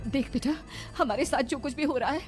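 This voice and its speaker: A protective, fearful mother from a cartoon ghost story, acted by a woman. The voice is pitched high and urgent. Clear music plays behind it. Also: a little fast, natural voice. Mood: anxious reassuring. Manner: urgent, tearful pleading. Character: frightened, protective village mother pleading for her son. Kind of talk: cartoon horror story voice acting.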